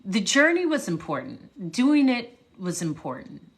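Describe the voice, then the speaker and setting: A woman speaker chatting casually with viewers on a livestream, reflective and unhurried, pausing and restarting often. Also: mid-range voice, sing-song. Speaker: livestream host, motivational speaker. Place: home livestream. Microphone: phone or webcam mic.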